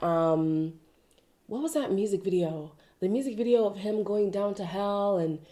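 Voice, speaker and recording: A chatty woman from Zimbabwe, sick and talking at a middle pitch, hosts her own pop-culture commentary show. She talks freely and expressively and wanders into side tangents. She records alone at a desk mic. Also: darkish tone. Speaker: commentary channel host.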